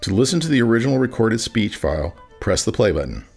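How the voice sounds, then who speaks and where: A man's voice: fairly low pitch, very animated, fast talker, a little mellow, slightly rough. A man reading a scripted product demonstration as a tutorial narrator. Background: background music.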